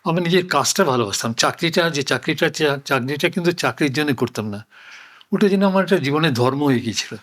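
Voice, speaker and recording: An older man reflecting on his life in conversation, speaking deliberately and repeating phrases for emphasis. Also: on the high side, animated, a bit bright. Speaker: interview guest. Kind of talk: reflective oral-history conversation.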